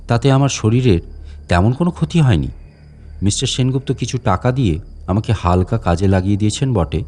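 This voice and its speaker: A man acts out an old male caretaker from a horror story, medium in pitch. He is deferential on the surface, with vengeful menace underneath. He puts sly rhetorical questions to his listener in a dramatic monologue. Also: a little mellow.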